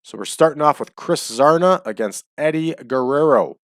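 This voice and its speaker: A man's voice: on the high side, animated, normal speed, a bit bright, no echo. A man hosting a casual game playthrough, chatty and explaining his choices as he goes, his voice a little off and dry from the air in his home. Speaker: let's-play host. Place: small home room.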